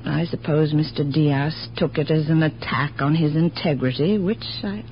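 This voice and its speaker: An actress playing a kindly, scatterbrained elderly aunt in a radio drama, chatty and a little hesitant. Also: female voice, sits low, normal speed. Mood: thoughtful, recalling. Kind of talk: 1970s radio drama acting. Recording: dull, faintly noisy 1970s radio recording.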